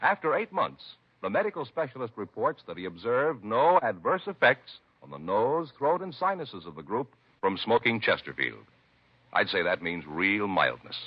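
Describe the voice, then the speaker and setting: A man's voice: on the low side, big pitch swings, moderate pace, slightly rough. An American man announcing a 1950s radio commercial in an emphatic, formal, salesmanlike style. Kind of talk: 1950s radio advertising read. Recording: muffled vintage radio recording.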